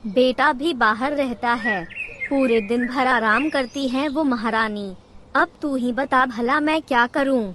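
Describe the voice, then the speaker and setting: A woman voicing a greedy old mother-in-law bird in a cartoon, complaining and taunting in a drawn-out, dramatic way. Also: high-pitched, a bit bright, slight background noise. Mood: bitter complaining. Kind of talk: Hindi cartoon character acting.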